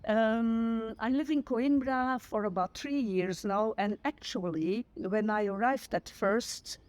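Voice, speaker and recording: A woman's voice: fairly high pitch, slow pace, darkish tone. Woman, 60s, Flemish accent, Dutch native, retired teacher, earnest, searching for words. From Flanders, Belgium. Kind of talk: personal testimony to camera.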